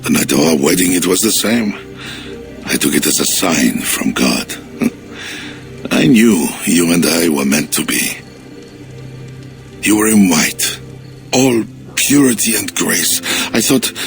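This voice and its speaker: An actor playing a bitter, jealous Frankish king in a video game cutscene, speaking slowly and turning mocking and accusing. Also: deep voice, animated, creaky, faint music behind, heavily acted.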